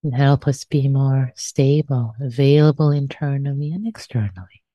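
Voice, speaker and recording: A woman teacher leading a guided meditation, speaking gently and softly, lingering on and repeating phrases. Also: a bass voice, no room sound. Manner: gentle, soft-spoken, unhurried with repeated phrases.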